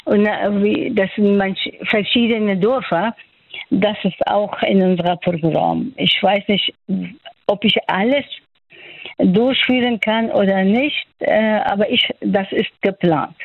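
An older woman from Afghanistan speaking German with an Afghan accent, answering interview questions haltingly and searching for words. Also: medium pitch, no echo, narrow, tinny audio. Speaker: doctor, interviewee.

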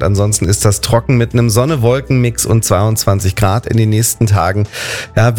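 A man's voice: medium pitch, darkish tone. A man hosting a regional morning radio show, cheerfully reading weather and traffic in a chatty way. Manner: chatty, cheerful, conversational fillers.

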